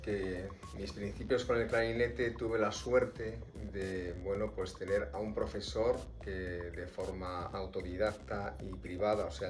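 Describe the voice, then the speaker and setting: A man from Spain, a clarinetist, recalling his early years in an unhurried storytelling way with fillers. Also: on the low side. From Spain (born Pontevedra, raised Madrid). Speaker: clarinetist in a quartet. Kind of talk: interview storytelling.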